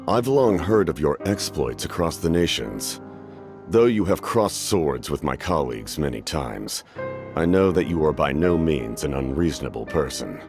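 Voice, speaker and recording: Very deep, grave commanding captain. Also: male voice, animated, music in the background. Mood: composed, persuasive. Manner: formal, measured, persuasive, solemn. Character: grave, commanding male military captain, calculating and courteous. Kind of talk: English game voice acting.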